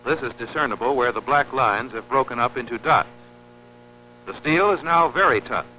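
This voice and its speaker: A man narrating an instructional film in a formal, scripted, measured and precise teaching manner. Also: medium pitch, expressive, slight background noise. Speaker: narrator of an industrial training film. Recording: dull lo-fi old film soundtrack, humming.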